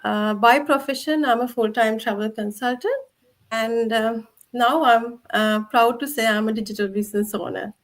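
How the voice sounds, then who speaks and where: Woman from Sri Lanka; higher voice; polite, slightly nervous first-timer. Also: moderate pace. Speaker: panelist. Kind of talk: live online panel chat.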